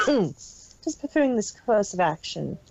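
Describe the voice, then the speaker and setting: Cold female pony villain, played by a woman, callous, detached, lively intonation, muffled lo-fi recording, storybook drama. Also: medium pitch, slow, gravelly, full character voice. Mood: cold, dismissive. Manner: detached, unemotional. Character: cold callous scheming female pony business boss, cruel. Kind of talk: fan fiction dramatic reading.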